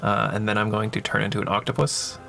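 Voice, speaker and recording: A man playing a tabletop game, planning his moves aloud in a measured, slightly hesitant casual way. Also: lowish voice, fairly even intonation, gravelly. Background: music.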